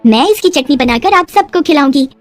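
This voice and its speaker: A woman voicing a cheerful, clueless young bride in a story, speaking slow, sing-song broken Hindi. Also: high voice, expressive, soft background music, pitched above the performer's own voice. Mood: cheerfully insistent.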